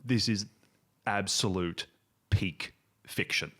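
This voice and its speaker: Low-voiced man thinking out loud. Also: slow. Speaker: show creator and game master. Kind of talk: casual chat and improvised game narration.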